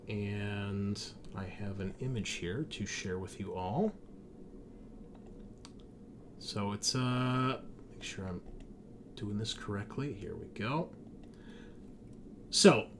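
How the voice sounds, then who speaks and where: A man with a fairly low voice acts as game master for a tabletop roleplaying game. He explains the setting conversationally and speaks straight to his players. There is faint noise behind him. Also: fairly bright tone. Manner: explanatory, addresses players directly.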